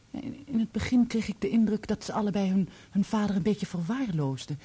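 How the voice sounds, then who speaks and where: A candid, composed divorcee in a radio play. Her voice is warm and dark, with a breathy huskiness. She speaks deliberately and asks her questions in a measured, probing way. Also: mid-range voice. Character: composed, candid divorced woman, late lover of a knight. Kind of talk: Dutch radio play acting.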